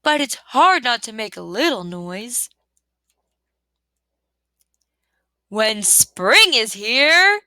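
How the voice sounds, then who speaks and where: A good-natured, huggable storybook bear, acted by a woman reader. The delivery is easygoing and drawn out, with slow phrases that rise and fall widely, recorded dry and close. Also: on the high side, very animated, sharp tone, no echo, heavily acted. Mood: hushed. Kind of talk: children's storybook read-aloud.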